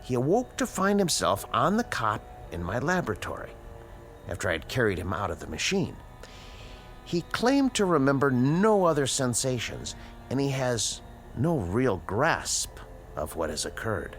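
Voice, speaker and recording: An actor playing an educated, uneasy scientist in a radio drama, with precise, formal diction and dramatic pauses. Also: male voice, medium pitch, very animated, faint music behind. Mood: clinical, measured. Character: educated, uneasy male scientist, inventor of a time machine. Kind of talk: radio drama acting, dialogue and dictated log narration.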